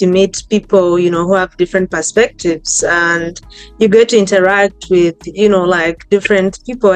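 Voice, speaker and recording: Smooth-voiced woman hosting a chat. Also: medium pitch, no room sound. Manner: friendly, chatty, many fillers like "you know". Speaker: host. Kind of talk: casual interview talk.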